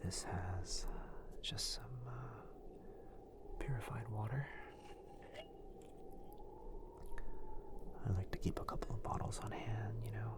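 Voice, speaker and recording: A man playing a gentle, caring wizard healer in an intimate ASMR roleplay, murmuring soothingly with hesitant pauses. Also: lowish voice, steady tone, lightly acted. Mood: careful, focused.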